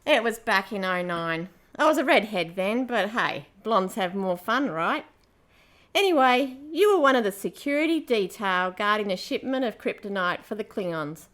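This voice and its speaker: A woman's voice: mid-range voice, very animated, moderate pace, a bit bright. A woman playing a brash, roguish space pirate queen in an amateur audio drama, with a brassy, casual manner and free swearing. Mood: breezy, boastful.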